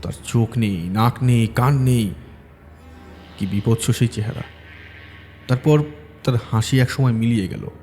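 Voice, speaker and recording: A man's voice: mid-range voice, a little slow, pauses often, darkish tone, in a large hall, faint music behind. A man narrating a horror audio story in a dramatic, suspenseful way.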